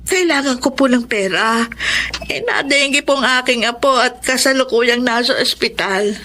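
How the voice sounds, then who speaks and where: A woman's voice: on the high side, moderate pace, sharp tone, slight background noise. An older woman in a Filipino radio drama, playing a poor, worried grandmother who speaks in an earnest, pleading way. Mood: humble, hesitant.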